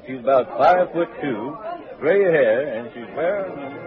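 An actor playing a helpful, deferential railroad porter, folksy and a little hesitant, in 1940s radio acting. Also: male voice, mid-range voice, animated, unhurried, over music, lightly acted. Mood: eager to help. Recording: tinny 1940s radio recording.

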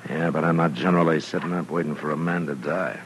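A very low, weary voice as a frontier marshal. He is stern and authoritative, in the style of vintage radio western drama. The old recording carries light noise. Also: fairly even intonation, quick pace. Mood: weary, troubled. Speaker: lead actor in a western radio serial.

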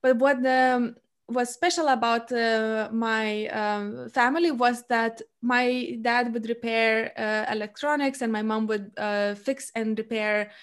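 A woman's voice: a little high, steady tone, takes it slowly, clean tone. A young woman hosting a podcast interview, talking casually and stopping often for filler sounds. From Lithuania. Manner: hesitant, many filler sounds. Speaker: podcast host.

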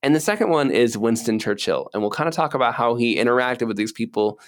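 A man's voice: mid-range voice, lively intonation, quick pace. A man co-hosting a podcast, explaining things in a casual, conversational style. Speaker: podcast co-host.

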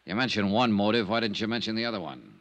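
A low-voiced 1950s radio detective. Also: male voice, expressive, quick pace, a bit bright, no echo. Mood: probing, cool. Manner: clipped, dramatic, wistful storytelling. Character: tough, world-weary male freelance insurance investigator narrating his case. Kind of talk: 1950s radio drama acting and first-person narration. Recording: 1950s radio recording with music behind.

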